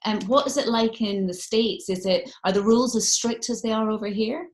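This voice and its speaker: A woman's voice: a little high, moderate pace. A British woman hosting a casual interview, chatty and sympathetic in manner. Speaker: radio interviewer. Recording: echoey room.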